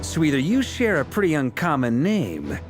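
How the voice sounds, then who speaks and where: An easygoing veteran knight from a video game, talks with lively intonation. He sounds affable yet shrewd and probing, with clear music in the background. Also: fairly high pitch, normal speed. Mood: shrewd, curious. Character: easygoing, seasoned male knight grandmaster, shrewdly curious. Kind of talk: video game cutscene acting.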